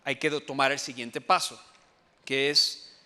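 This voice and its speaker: A man from Venezuela with a Venezuelan accent, giving a conference presentation as an enterprise architect, pausing often with fillers and restarts. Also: medium pitch, fairly even intonation, pushed and bright. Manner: hesitant, many fillers and restarts. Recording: long hall reverb.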